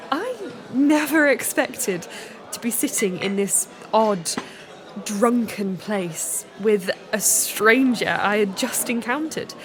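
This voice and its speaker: A woman's voice: a little high, expressive, slow pace, a crowd in the background. An actress playing a sheltered, proper young Victorian lady with an upper-class English accent, formal and hesitant, in a period radio drama. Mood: wondering.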